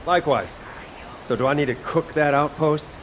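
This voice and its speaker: An actor playing a cheerful, hot-headed young chef and fighter in a video game, with a playful, cocky delivery. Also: male voice, on the high side, moderate pace, slight background noise, lightly acted. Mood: breezy, confident.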